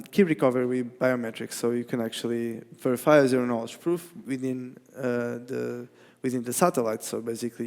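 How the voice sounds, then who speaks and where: Gravelly-voiced man with a Portuguese accent. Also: medium pitch, darkish tone. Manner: halting, many restarts and fillers. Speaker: conference speaker. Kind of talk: technical conference talk. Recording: reverberant hall.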